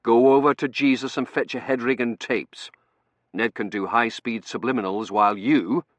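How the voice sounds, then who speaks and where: A man voicing a brusque, commanding male academic in an audiobook, brisk, authoritative and easily exasperated. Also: mid-range voice, fairly even intonation, dry recording, voice pushed up, natural voice.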